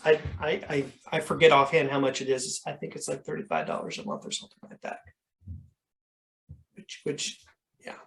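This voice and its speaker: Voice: medium pitch, low-quality recording. An instructor explaining things informally in a question-and-answer coaching session over a video call, in a conversational way that often qualifies itself.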